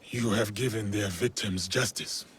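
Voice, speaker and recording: An actor playing a stern, measured warrior of feudal Japan in a video game, formal and terse. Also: male voice, on the low side, fairly even intonation, breathy edge. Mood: calm, probing. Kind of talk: video game cutscene acting.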